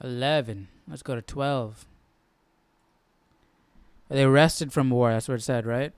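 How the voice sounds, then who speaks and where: A man's voice: medium pitch, slow, clear, resonant tone, no room sound. A man hosting a casual live Bible study, thinking aloud and often checking in with the listener. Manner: musing, often checking with "right?".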